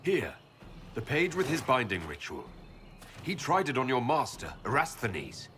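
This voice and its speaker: An actor playing a resolute elven leader in a fantasy game, speaking in a firm, measured, earnest way. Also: male voice, medium pitch, huge pitch swings, a bit bright, a little hiss or hum. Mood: persuasive. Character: resolute young elven male leader, persuasive and challenging. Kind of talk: dramatic fantasy game acting.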